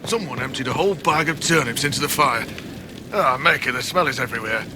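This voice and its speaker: An actor playing an exasperated, grumbling castle servant in a video game. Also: male voice, medium pitch, fast, noisy background, natural voice. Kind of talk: video game background dialogue acting.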